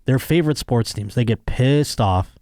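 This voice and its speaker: A man's voice: medium pitch, normal speed, a little mellow, no echo, clean studio sound. A man with an American accent hosting a comedy podcast, reading out news and riffing on it in a casual, sardonic, profane way. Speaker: podcast host.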